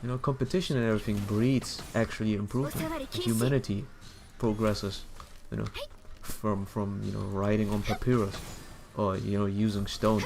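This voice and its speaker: A man's voice: fairly low pitch, expressive, normal speed, a little mellow. A man casually rambling as he streams a game, musing and opinionated, often repeating words as he searches for phrasing. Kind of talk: casual rambling commentary while gaming. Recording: clear background noise, game ambience.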